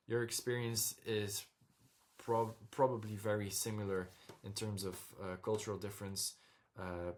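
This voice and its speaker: A young man from Belgium, talking casually and directly to his viewers over slides, often checking in with "okay?". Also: on the low side. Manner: direct, repeats "okay?" and "all right?" to the viewer. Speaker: dating coach vlogger.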